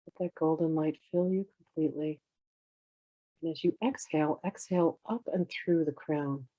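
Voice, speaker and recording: A meditation teacher guiding in a soothing, unhurried way, with gentle instructions in drawn-out phrases. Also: mid-range voice, steady tone, no room sound, dull audio. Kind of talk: guided meditation, slow instruction.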